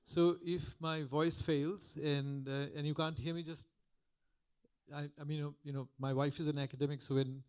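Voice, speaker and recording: Soft-spoken older man lecturing. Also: on the high side, fairly even intonation, normal speed, clean tone. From Calcutta, India. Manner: soft-spoken, reflective, with frequent restarts. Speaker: guest lecturer. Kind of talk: public lecture. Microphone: podium microphone.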